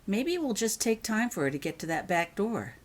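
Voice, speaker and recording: A woman's voice: mid-range voice, big pitch swings, normal speed, voice pushed up. A woman voicing a kindly, practical, reassuring mother in a storybook reading.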